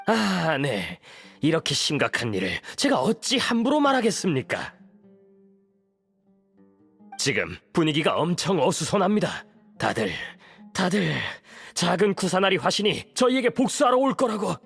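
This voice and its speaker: A man's voice: high voice, very animated, moderate pace, vocal fry, fairly bright tone, husky, soft background music, exaggerated voice. An actor playing a timid, apologetic male underling scholar in a game, deferential and hesitant. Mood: flustered, anxious. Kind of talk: dramatic Korean game voice acting.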